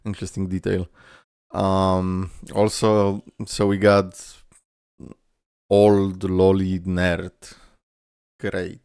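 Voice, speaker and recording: A man's voice: low voice, monotone, very slow, long pauses, a little mellow, clean tone, dry recording, studio quality. A man giving casual, playful reaction commentary with swearing, his voice tired and strained from a lot of talking. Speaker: reaction video host.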